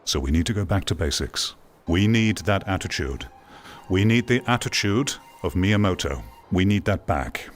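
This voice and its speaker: A man's voice: deep voice, animated, moderate pace. A man giving opinionated commentary to viewers, emphatic and repeating words for stress. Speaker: video host, VR commentator.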